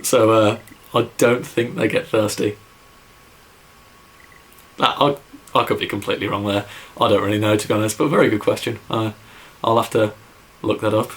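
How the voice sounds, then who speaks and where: Young English man from the Midlands, lowish voice, rambly casual vlogger full of ums and likes. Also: steady tone, moderate pace, fairly bright tone. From England, near Birmingham. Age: young adult. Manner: rambling, lots of fillers and "like", says so himself. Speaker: YouTube musician answering viewer questions. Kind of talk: casual vlog Q&A.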